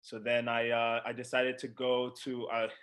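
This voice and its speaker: A man's voice: medium pitch, completely flat delivery, normal speed, fairly bright tone. Young man giving casual interview answers. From Democratic Republic of Congo. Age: young adult. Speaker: professional soccer player being interviewed.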